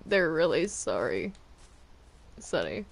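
A person chatting casually while roleplaying in a video game, with a halting delivery that often trails off. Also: mid-range voice, very animated, gravelly. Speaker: Minecraft roleplay player.

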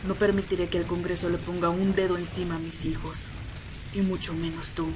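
A woman's voice: lowish voice, flat delivery, fairly quick, noisy background, lightly acted. A woman voicing a commanding vampire matriarch in an audio drama, grave, imperious and fiercely protective. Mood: defiant. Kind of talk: Spanish-language ASMR roleplay audio drama.

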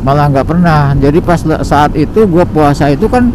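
A man's voice: on the high side, normal speed. Rambling Jakarta-slang man riding at night. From Indonesia. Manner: rambling, relaxed, many fillers. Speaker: motovlogger. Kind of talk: casual solo ride vlog. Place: riding a motorcycle at night on city streets. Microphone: helmet-mounted action camera. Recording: road and traffic noise behind. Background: engine and traffic.

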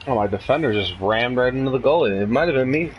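A man's voice: medium pitch, expressive, fast talker, dull audio, soft background music. A man chatting casually in a laid-back, slangy way as he plays a video game with a friend. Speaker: gaming show co-host and player. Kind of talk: casual chat while gaming.

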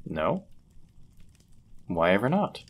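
A man acting a deep-voiced, courteous medieval innkeeper. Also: wildly swooping pitch, breathy edge, full character voice. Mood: gentle, courteous. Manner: gentle, polite, measured, formal wording. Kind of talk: intimate roleplay audio acting, formal old-fashioned speech.

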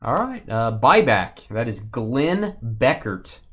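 A man's voice: mid-range voice, big pitch swings, slow pace, a bit bright, a little hiss or hum. A man with a Texas accent hosting a live-stream card break, chatting and joking with viewers as he opens packs. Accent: Texan.

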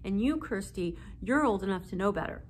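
A woman reading as a sharp, scolding storybook mother. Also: mid-range voice, lively intonation, fairly quick, slight background noise, close to an everyday voice. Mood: stern. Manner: sharp, firm.

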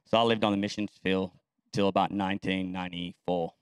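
A man, sharing a casual, self-deprecating church testimony with frequent pauses. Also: low voice, flat delivery, clear, resonant tone, no room sound. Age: 30s. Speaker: missionary speaker.

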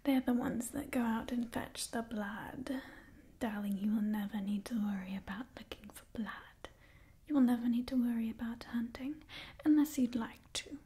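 A young woman playing an imperious, doting vampire clan leader in an ASMR roleplay, in a soft breathy whisper close to the microphone. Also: a little high, lightly acted. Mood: haughty, instructing. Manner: slow, deliberate, condescendingly affectionate. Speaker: ASMR performer. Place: small indoor set with wooden wall.

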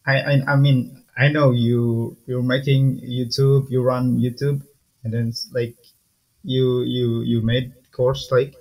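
A young male podcast host who speaks slowly in a level, even tone. His questions come out loose and casual, with plenty of filler words. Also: mid-range voice, poor audio.

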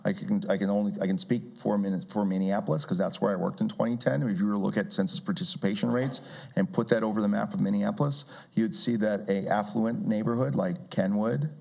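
A man's voice: on the low side, fairly even intonation, a little fast, gravelly, few pauses, darkish tone. A man in his forties speaking at a community meeting with a handheld mic, talking in a conversational way with many restarts and wry asides. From Brazil. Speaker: guest speaker. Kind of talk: public talk with audience questions. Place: community meeting room with seated audience.

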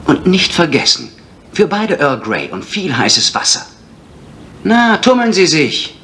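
An actor playing a brisk, bossy, gossipy male employee with a snappy, condescending manner in an old radio play. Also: fairly high pitch, sing-song, bright, forceful tone, slight background noise, full character voice. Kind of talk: German radio crime drama.

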